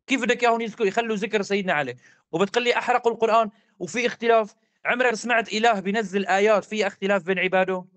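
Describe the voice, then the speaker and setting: A man's voice: sits high, fairly bright tone, dry recording. A man arguing hard in a religious debate, insistent and repetitive. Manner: rapid, insistent, argumentative, repeats demands.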